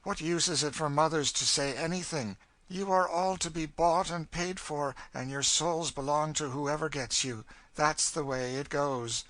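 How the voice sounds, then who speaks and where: A male reader acts out a bitter storybook woman who has given up hope. The pitch is raised and the tone is hard and forceful. She is world-weary and fatalistic, leaning on her words with emphasis. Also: measured pace, low-quality recording.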